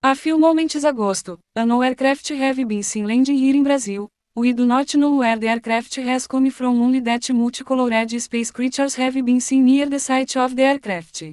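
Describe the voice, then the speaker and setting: High-voiced woman news announcer. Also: fairly even intonation, normal speed, hardly any pauses, a little mellow, no echo. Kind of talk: scripted emergency news bulletin.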